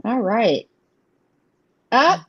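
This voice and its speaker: A woman, talking in a slow, unhurried way and laughing often. She chats casually as co-host of a live tarot stream, and her voice comes through dull, lo-fi stream audio. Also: on the high side, very animated, slightly rough. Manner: chatty, giggly, Southern "y'all". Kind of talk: casual livestream chat.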